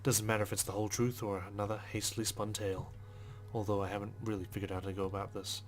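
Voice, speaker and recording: A man reads a visual novel's narration aloud in a dry, understated style. His voice is lowish and flat, moving quickly over faint background music. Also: slight background noise. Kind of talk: reading narration aloud in a let's play.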